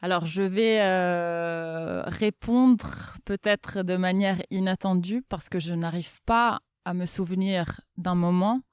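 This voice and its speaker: Smooth-voiced woman, hesitant and thoughtful. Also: fairly low pitch, slow, few pauses, no room sound, clean studio sound. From Milan, Italy. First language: Italian. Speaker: interview guest, development bank inclusion officer. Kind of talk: casual, thoughtful interview answers.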